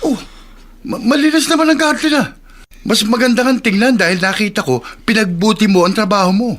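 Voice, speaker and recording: An actor with a Filipino accent playing a kindly older co-worker in a radio drama, reassuring and folksy. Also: male voice, high-pitched, brisk, a bit bright. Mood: concerned, reassuring. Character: kindly older male co-worker giving soothing advice.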